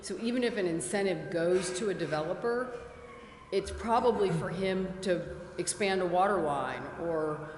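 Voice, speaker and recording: A woman consultant explaining tax funding steps clearly at a city committee meeting. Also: lowish voice, moderate pace, darkish tone, slight background noise. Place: city council chamber. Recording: echoey council chamber from far off.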